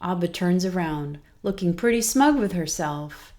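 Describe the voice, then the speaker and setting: A woman reading a scripted children's story as narrator, in an expressive, deliberate storytelling manner. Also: on the low side, slight background noise.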